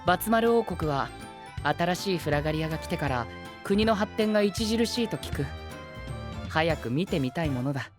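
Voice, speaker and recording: A man plays a composed young gentleman knight in an anime drama. His speech is formal and princely yet melodically varied, over faint music. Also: fairly high pitch, animated, moderate pace, lightly acted. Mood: composed, interested. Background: faint background music.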